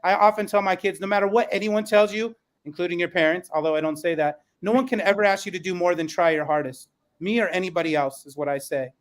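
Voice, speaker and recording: A man with a high voice moderates a podcast panel. He speaks earnestly and conversationally, passing questions to the guests. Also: fairly even intonation, quick pace.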